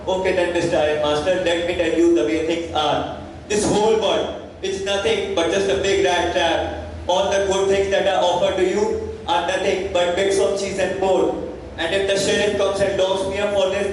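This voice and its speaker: A man acting a poor, defiant yet humble peddler on stage, his voice high for a man. He declaims slowly from a distance in a heavily echoing hall, with faint noise behind him. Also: natural voice. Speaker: school play actor.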